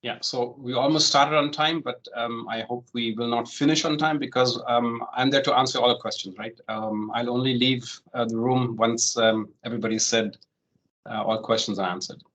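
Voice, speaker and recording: A man in his forties who grew up in Germany, a home loan consultant giving an online presentation in a relaxed way, full of "um" fillers and the tag "right?". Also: medium pitch, normal speed, rough recording. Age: 40s. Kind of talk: online presentation, walking through steps.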